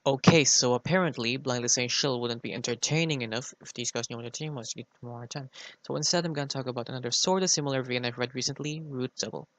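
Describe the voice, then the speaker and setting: A man's voice: mid-range voice, fast talker, fairly bright tone, no echo. A man delivering a scripted review as a guest segment on a podcast.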